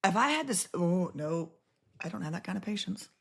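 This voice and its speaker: A woman's voice: lowish voice, expressive, a little fast, creaky, husky. A middle-aged woman from Canada chatting casually as an interview guest, with a self-deprecating, talkative manner. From Winnipeg, Canada. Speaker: podcast guest, former family court judge. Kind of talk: casual chat.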